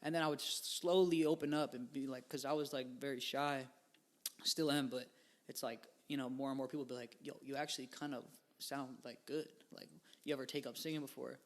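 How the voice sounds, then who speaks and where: A man's voice: medium pitch, steady tone, a little fast. A young man in his twenties, a musician guest on a podcast, talking casually in a rambling way with lots of fillers and slang. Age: 20s. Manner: rambling, filler-heavy, laid-back slang.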